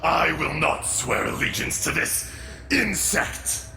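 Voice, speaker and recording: A man voicing a furious, proud and bitter ancient elemental dragon in a video game, gravelly and grandiose, declaiming with contempt. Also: a little high, expressive, takes it slowly, background noise, heavily acted. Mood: furious, defiant. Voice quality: heavily gravelly. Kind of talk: dramatic video game voice acting. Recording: loud dramatic game music underneath.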